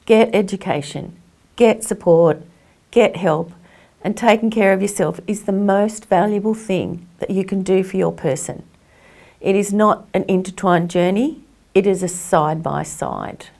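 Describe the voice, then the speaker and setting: A woman's voice: medium pitch, measured pace, creaky, a little mellow. A woman giving reflective personal testimony as a carer, speaking earnestly and with emphasis. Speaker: carer sharing personal experience.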